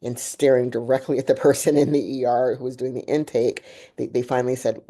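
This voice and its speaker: A woman hosting a podcast with a deep voice, talking candidly and animatedly through a personal story. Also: a little fast. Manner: candid, animated, laughs while telling a story. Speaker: podcast host. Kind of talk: conversational interview with personal storytelling.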